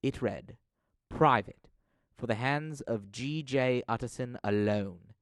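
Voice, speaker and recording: A man narrating an audio drama from a script, telling the story in a measured, dramatic manner. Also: medium pitch, clean tone, no echo, clean studio sound.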